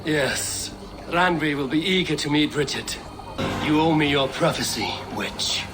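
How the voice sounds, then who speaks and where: Guy acting a stern weary viking warrior for a game, terse and measured, loud music behind. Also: a little high, close to an everyday voice. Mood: wry, warm. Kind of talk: video game cutscene acting.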